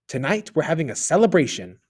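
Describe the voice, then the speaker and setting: Greedy rich lady, high and loud, squeals with delight, put on by a male narrator. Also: big pitch swings, fairly quick, slightly rough. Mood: bossy. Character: greedy rich woman, excitable and shrill.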